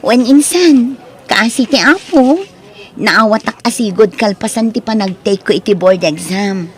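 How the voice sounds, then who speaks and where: A woman's voice: on the high side, a little slow, lightly acted. A woman playing a humble, forgiving young woman and dutiful daughter in an Ilocano audio drama. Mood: forgiving, calm.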